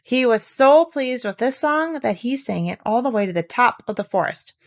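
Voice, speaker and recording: A woman reading a children's storybook aloud in a gentle, measured manner. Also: on the high side, animated. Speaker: audiobook reader. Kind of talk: storybook narration.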